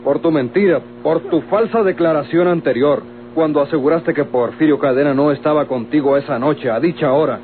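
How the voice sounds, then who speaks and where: An actor playing a stern, officious public prosecutor in a Mexican radio soap opera, with a Mexican accent and formal, authoritative phrasing. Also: male voice, a little high, a little fast, close to an everyday voice. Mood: outraged. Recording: tinny old radio recording, clearly noisy.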